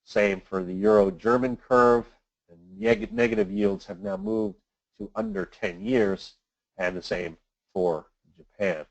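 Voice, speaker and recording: A man presenting a semi-scripted financial briefing as an analyst, explaining things in a measured, matter-of-fact way. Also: on the low side, wildly swooping pitch, gravelly, muffled recording. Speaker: financial analyst hosting a weekly market briefing. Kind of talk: semi-scripted market commentary.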